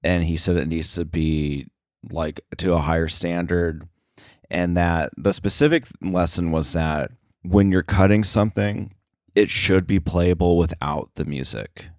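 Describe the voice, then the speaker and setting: A man's voice: low-pitched, steady tone, a little slow, darkish tone, no echo, studio quality. A man speaking casually as a podcast guest, a sound effects editor with a self-deprecating, chuckling way of talking. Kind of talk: casual interview conversation.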